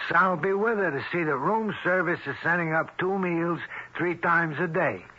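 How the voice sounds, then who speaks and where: An actor playing a menacing, bossy Italian-American mobster in an old-time radio drama, with streetwise slangy American speech. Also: male voice, fairly high pitch, moderate pace, bright, forceful tone, breathy edge. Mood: commanding. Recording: muffled vintage radio broadcast.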